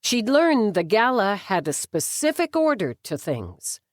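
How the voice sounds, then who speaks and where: A woman narrates a novel with wry delivery. Her mid-pitched voice moves expressively through the lines at a measured pace, recorded in a very dry space. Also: sing-song, no echo. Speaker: audiobook narrator. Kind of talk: scripted fiction narration.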